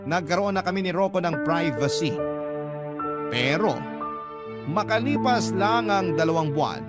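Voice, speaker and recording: A man's voice: high-pitched, animated, unhurried. A man narrating a listener's letter in the first person as a radio drama host, with dramatic storytelling delivery. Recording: loud music bed under voice. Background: prominent instrumental music.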